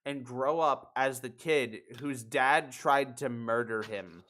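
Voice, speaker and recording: A man with a bright, punchy voice at a medium pitch hosts a podcast. He talks casually through a news story, swearing freely and leaning into his words with indignant emphasis. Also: slow. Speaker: podcast host.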